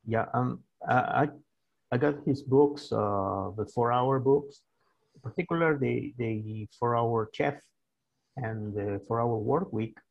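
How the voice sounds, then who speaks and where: A sixty-year-old man with an Argentine Spanish accent talks through an interview, pausing on fillers and restarting his sentences. His voice is gravelly, and the recording sounds dull and lo-fi. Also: mid-range voice. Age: 60s. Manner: hesitant, many fillers and restarts, laughs easily. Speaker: guest author. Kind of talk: casual interview chat.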